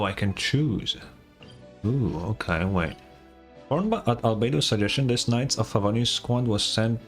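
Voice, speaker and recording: Low-voiced, casual male game streamer. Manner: laid-back, slangy, swears. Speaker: livestreamer. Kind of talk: casual game commentary, reading on-screen text aloud.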